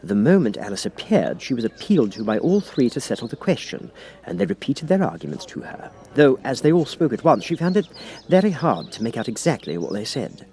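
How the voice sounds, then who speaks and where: A man's voice: medium pitch, sing-song, brisk, mellow. A man reading a children's story as a scripted audiobook narration.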